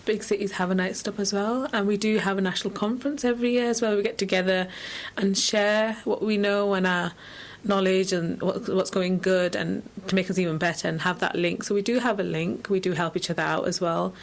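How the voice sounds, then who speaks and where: A woman's voice: medium pitch, steady tone, brisk, hardly any pauses. A woman giving informal, explanatory answers as a charity guest in an interview.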